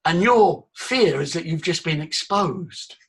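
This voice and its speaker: Male voice coach, husky tone, fairly high-pitched, demonstrative and breathy. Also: expressive, unhurried, a bit bright. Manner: breathy, demonstrative, leads vocal exercises. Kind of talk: live workshop teaching.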